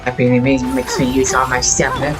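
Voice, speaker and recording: A man commentates casually on his own livestreamed gameplay. Game music plays clearly behind his voice. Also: mid-range voice, monotone, fast. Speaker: game streamer.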